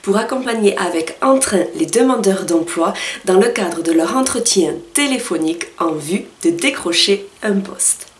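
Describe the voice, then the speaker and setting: A woman with a mid-pitched voice presents a scripted advice column as its host. Her wording is formal and careful, spoken directly to the listener. The sound is echoey and a little far from the microphone. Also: expressive, normal speed. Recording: roomy echo, distant from microphone.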